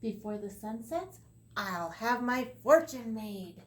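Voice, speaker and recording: A woman's voice: a little high, expressive, takes it slowly. Woman doing a bold young farmer lad. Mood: scheming. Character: clever, bold young Irish farmer man. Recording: echoey room, faint ambience.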